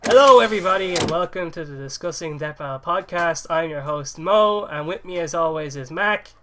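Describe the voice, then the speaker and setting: A man's voice: on the high side, animated, brisk, a bit bright, slight background noise. A man in his early twenties hosting a podcast with a cold, rambling, laughing and swearing freely. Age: early 20s. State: sniffly with a cold. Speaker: podcast host. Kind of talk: casual podcast chat.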